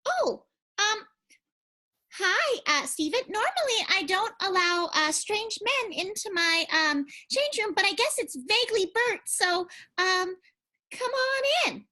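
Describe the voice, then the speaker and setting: A woman's voice: very high-pitched, sing-song, takes it slowly, sharp tone, exaggerated voice. A woman playing a sweet, innocent, timid ingenue who stammers hesitantly in an improvised radio play. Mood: nervous, polite. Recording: music behind the voice.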